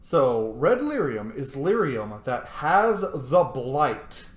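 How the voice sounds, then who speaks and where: A man's voice: medium pitch, big pitch swings, slow. A man giving casual, opinionated commentary as he plays a video game, reacting informally. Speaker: gamer narrating a playthrough. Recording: echoey room, faint game noise behind.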